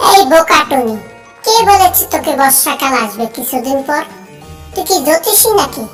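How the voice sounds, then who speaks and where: Female actor for snappy, scornful talking bird in an animated fairy tale. High pitch, mocking questions, faint music bed. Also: normal speed. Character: bad-tempered, scornful talking parrot and crow.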